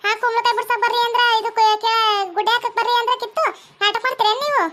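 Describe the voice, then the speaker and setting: Woman voicing a very shrill, bossy farm owner. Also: flat delivery, fast talker, a bit bright. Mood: impatient, scolding. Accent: North Karnataka. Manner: scolding, insistent, repeats orders. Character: bossy, impatient female farm owner hurrying her labourers.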